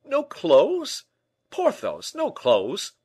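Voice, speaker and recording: Male reader as shrewd gentleman, audiobook character, brisk, questioning, exclamatory, very lively intonation, dry sound. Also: medium pitch, no room sound, voice pushed up. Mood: astonished. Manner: animated, questioning, slightly impatient.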